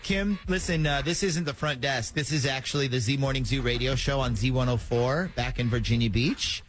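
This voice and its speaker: A man hosting a morning radio show, talking in an animated, conversational way during a prank call segment. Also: mid-range voice, normal speed.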